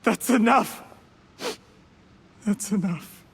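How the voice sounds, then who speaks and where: A man's voice: sits high, very slow, gravelly, full character voice. A man acting an ambitious younger schemer in a video game, formal, earnest and self-justifying. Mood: agitated.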